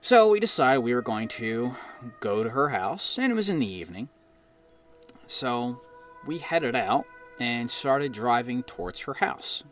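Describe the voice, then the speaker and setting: A middle-aged American man from New Jersey narrating his own eerie experiences with dramatic pauses. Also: mid-range voice, sing-song. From New Jersey, United States. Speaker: guest storyteller reading own experience. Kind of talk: personal ghost story narration. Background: background music.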